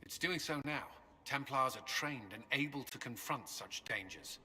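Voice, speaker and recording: A man's voice: medium pitch, lively intonation, moderate pace, bright, forceful tone, slight background noise. An actor playing a guilt-ridden male templar commander in a video game, speaking solemnly and earnestly. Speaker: game character.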